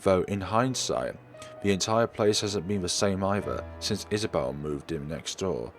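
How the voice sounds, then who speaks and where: Low-voiced man reading a story aloud. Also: animated, measured pace. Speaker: let's player reading a visual novel aloud. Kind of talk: expressive read-aloud of first-person story narration. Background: background music.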